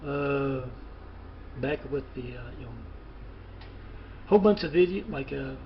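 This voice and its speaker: A man rambling haltingly through a phone interview, with hesitant pauses and a muffled, noisy recording. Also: mid-range voice, animated. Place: phone call.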